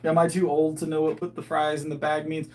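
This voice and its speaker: A man's voice: a little high, monotone, fairly quick, darkish tone. A man in his thirties chatting casually on a livestream, answering viewers and often restarting his sentences while he thinks aloud. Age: 30s. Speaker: livestreaming powerlifter. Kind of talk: casual livestream chat reading viewer comments.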